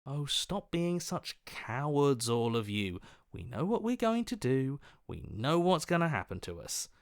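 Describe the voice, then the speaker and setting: An actor playing a detached, fearless inner voice in a video game, talking in a flat, dismissive, unhurried way. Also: male voice, mid-range voice, no echo, clean studio sound. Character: cold, fearless, detached male inner voice, bored by danger.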